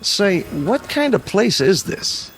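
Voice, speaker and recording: An actor in a radio drama playing a practical young husband out car shopping, clipped and questioning. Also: male voice, high voice, big pitch swings, takes it slowly, a bit bright, a little hiss or hum, exaggerated voice. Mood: puzzled, insistent. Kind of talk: old-time radio drama acting.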